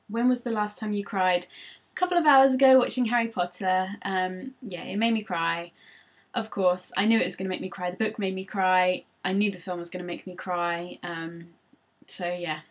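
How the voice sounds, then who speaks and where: A woman's voice: mid-range voice, moderate pace. A woman, chatting casually through tag questions on her vlog with frequent ums, recorded on a laptop. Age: 20s. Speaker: vlogger.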